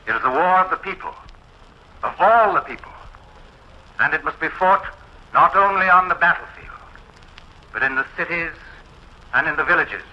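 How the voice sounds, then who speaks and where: An actor playing a solemn, resolute English village vicar, declaiming with measured weight in 1940s dramatic style. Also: male voice, on the high side. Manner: measured, declamatory, rising to fervour. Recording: muffled, hissy 1940s radio recording.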